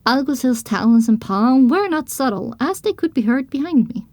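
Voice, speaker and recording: Female audiobook narrator, fairly high voice, expressive storytelling, scripted first-person narration, dry studio sound. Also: normal speed, no echo.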